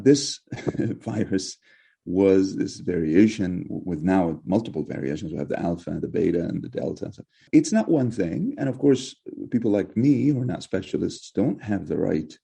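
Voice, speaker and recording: Give me a middle-aged man from Egypt, Arabic, speaking English in a low, husky voice. His answers are measured and probing, with frequent restarts as he thinks aloud. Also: expressive, mellow. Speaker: professor, guest. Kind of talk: reflective interview answers.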